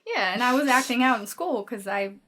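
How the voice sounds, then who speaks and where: A woman's voice: fairly high pitch, lively intonation, a little fast, fairly bright tone. A 24-year-old woman from Denmark with a Danish accent, whose first language is Danish, talking openly and laughing easily as a podcast guest. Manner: candid and open, laughs easily. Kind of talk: casual chat.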